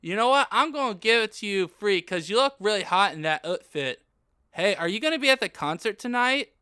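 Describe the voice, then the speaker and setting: A woman's voice: medium pitch, animated, fairly quick, pushed and bright, clean tone, dry recording, studio quality. A woman voicing a laid-back, flirtatious male goth shop salesperson in a comic story reading, casual and confiding.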